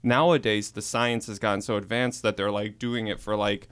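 Low, smooth-voiced man, hesitant. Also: level tone, normal speed, fairly bright tone. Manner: hesitant, many fillers and restarts. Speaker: podcast guest, host's husband. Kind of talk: casual conversation.

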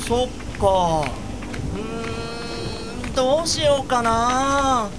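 An actress playing a blind art lover, casual, frank and gently exasperated, in an audio drama. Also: female voice, fairly high pitch, steady tone, extremely slow, noisy background. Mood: pondering. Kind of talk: Japanese audio drama acting.

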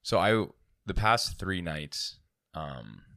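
Deep-voiced joking co-host man. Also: very animated, creaky, fairly bright tone, no room sound, clean studio sound. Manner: joking, teasing, quick asides. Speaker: podcast co-host. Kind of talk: casual chat.

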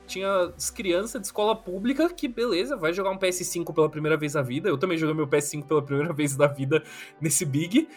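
A man with a notably high voice talks casually on a podcast. He tells stories in an animated, slangy way, stacking up fillers. Soft music sits faintly in the background. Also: quick pace. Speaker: podcast guest. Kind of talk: casual chat.

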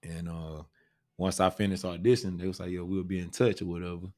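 A man's voice: sits low, flat delivery, fairly quick, warm tone. A man with an American accent telling a story casually, in slangy everyday talk full of check-in phrases. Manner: slangy, often says "you know what I'm saying". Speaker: stage actor discussing his part.

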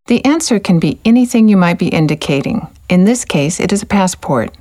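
A woman with a low voice narrates a language course in a calm, encouraging way. Her delivery is measured and steady, like a scripted guide.

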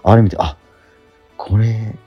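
A man's voice: on the low side, fairly even intonation, takes it slowly, breathy edge, muffled recording. A middle-aged man chatting casually as a radio host, telling stories with acted-out asides. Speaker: radio show host and stage actor. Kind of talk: casual radio chat about a theatre production. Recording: background music under voice. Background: music.

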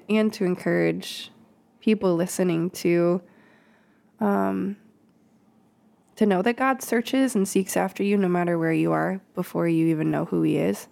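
A woman's voice: fairly low pitch, creaky, darkish tone. A woman, a children's pastor, talking casually on a podcast and reading scripture aloud, with ums and laughing asides. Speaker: kids' pastor. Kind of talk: casual podcast Bible study.

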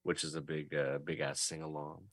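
A man co-hosting a podcast, bantering casually with a joking, deadpan manner. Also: a bass voice, moderate pace, no echo. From Canada. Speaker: podcast co-host.

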